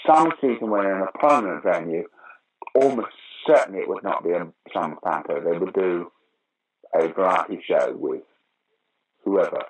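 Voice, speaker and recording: Deep, husky older man. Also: expressive, normal speed, long pauses, poor audio. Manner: wry, opinionated, emphatic repetition. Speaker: interview guest, actor, writer and director of pantomime. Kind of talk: conversational interview answers with anecdotes.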